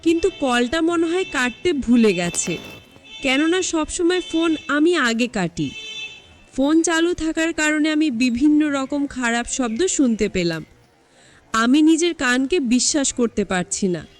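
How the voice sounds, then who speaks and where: Female story narrator, high register, very lively intonation, scripted first-person delivery. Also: measured pace, soft background music.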